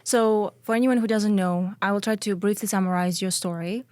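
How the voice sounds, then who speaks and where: A woman's voice: mid-range voice, fairly even intonation, brisk, darkish tone, no room sound. A woman hosting a podcast, asking questions and summarizing in a conversational interview style. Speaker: podcast host.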